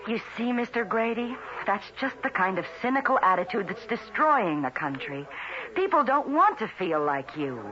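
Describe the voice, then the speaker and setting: An actress playing an idealistic, feisty young woman reporter in a 1970s radio drama, earnest and quick-witted. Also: medium pitch, very animated, lightly acted. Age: young adult. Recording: muffled vintage radio broadcast, music behind.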